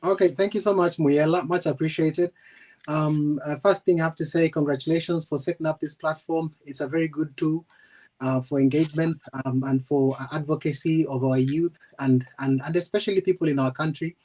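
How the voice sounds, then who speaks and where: A man answering as an interview guest, polite and measured, hesitating between phrases. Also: fairly high pitch, steady tone, a little mellow, low-quality recording. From Western Province, Kenya. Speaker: guest, engineer and ex-serviceman.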